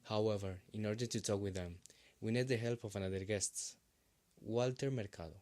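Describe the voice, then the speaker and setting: A man's voice: lowish voice, fairly even intonation, brisk, a little mellow, close to an everyday voice. A man playing a polite professor who hosts a scripted interview broadcast. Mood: formal, welcoming. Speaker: interview host. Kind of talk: scripted presenting in English.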